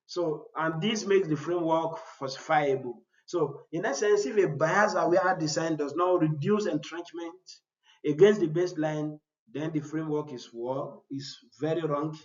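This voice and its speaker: Guy giving a conference paper talk, kinda high voice, echoey dull audio. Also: normal speed. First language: Yoruba. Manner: explanatory, asks and answers his own rhetorical questions. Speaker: researcher presenting a paper. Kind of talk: academic conference presentation. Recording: roomy, dull-sounding audio.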